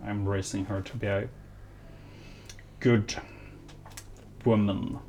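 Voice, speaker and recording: A man playing a cold, haughty noblewoman in a tabletop game, imperious and curt, with halting delivery. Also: lowish voice, little pitch movement, darkish tone. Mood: cold, stern. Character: haughty, impatient Regency noblewoman, cold and commanding mother. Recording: faint background noise.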